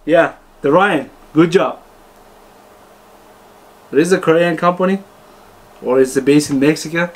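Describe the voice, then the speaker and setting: A man's voice: a little high, measured pace, long pauses. A man giving a casual, unscripted product review, talking to viewers in choppy, informal phrases. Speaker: host reviewing car audio speakers.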